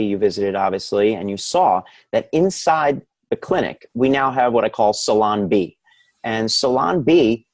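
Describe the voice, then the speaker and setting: A man's voice: medium pitch, no echo. A man speaking as an expert physician guest, explaining his field conversationally in an interview.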